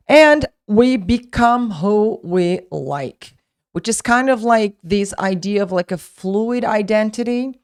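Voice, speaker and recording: A young woman whose first language is Portuguese, teaching English in a casual online lesson, talking in a chatty way with many fillers and restarts. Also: on the low side.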